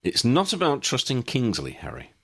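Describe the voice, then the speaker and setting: A man's voice: medium pitch, huge pitch swings, moderate pace, husky, pitched above the performer's own voice, heavily acted. A man voicing a practical, wry male sidekick with a blunt, plain-spoken manner in a storybook. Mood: advising.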